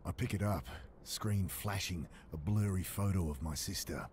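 Man acting deep-voiced, weary brooding drifter. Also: moderate pace, a little hiss or hum, natural voice. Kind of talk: pulp thriller game narration and dialogue. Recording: dark synth music behind. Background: brooding synth score.

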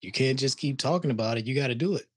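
A man's voice: mid-range voice, quick pace, slightly rough, no room sound, studio quality. A man in his forties coaching a guest on a video call from his living room, direct and pushing. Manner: direct, pushing, repeats words. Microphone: studio mic on arm, video call.

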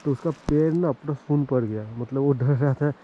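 A man's voice: medium pitch, moderate pace, mellow, highs cut off, slight background noise. A man narrating his motorcycle trip outdoors in a loose, chatty vlog style, full of fillers. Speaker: motorcycle travel vlogger. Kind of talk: casual travel vlog narration. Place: outdoors on mountain roads.

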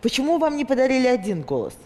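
A woman's voice: high-pitched, sing-song, fast, darkish tone. A middle-aged woman hosting a studio talk show, asking curious questions. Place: TV studio with audience. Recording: reverberant studio with audience.

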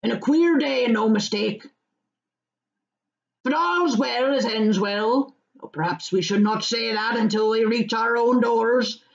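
A woman voicing a gruff old male hobbit farmer who speaks in blunt country talk, on slightly dull audio. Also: on the high side, unhurried, pitched above the performer's own voice. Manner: rustic country speech, bossy.

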